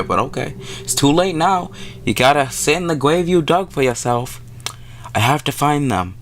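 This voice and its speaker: A man's voice: medium pitch, fairly bright tone. A man hosting a gaming video, reading visual novel text aloud and adding wry, informal commentary.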